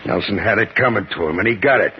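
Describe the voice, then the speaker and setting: A hard, vengeful gangster in a 1950s radio play. His low voice is tough and menacing, full of slangy talk. It comes through dull, with a faint hiss of noise. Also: lively intonation, fast talker. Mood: impatient, bossy. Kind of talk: 1950s radio drama acting. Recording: dull, faintly noisy old radio recording.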